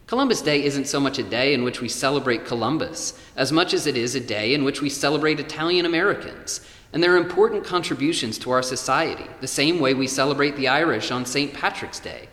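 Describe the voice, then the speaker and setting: A measured, self-assured man an actor in a radio play lectures like a conservative panelist. His voice is bright and forward, articulate, and rings through a long hall reverb. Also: medium pitch, close to an everyday voice. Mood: lecturing. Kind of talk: staged panel debate in an audio play.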